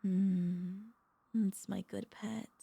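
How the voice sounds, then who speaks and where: A woman playing a possessive, doting royal vampire mistress in an intimate ASMR audio roleplay, speaking in a tender, teasing, halting way with a creaky voice. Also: mid-range voice, fairly even intonation, dark tone, heavily acted. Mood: vulnerable, tender. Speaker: voice actor and vtuber.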